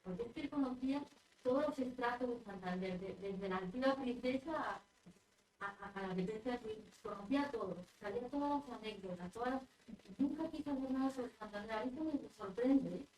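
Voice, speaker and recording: Woman in the audience asking stuff, kinda high voice, echoey and far off. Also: lively intonation, fairly quick, a little mellow. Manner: insistent, tagging remarks with "¿eh?". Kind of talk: casual question in a discussion. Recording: echoey room, distant mic.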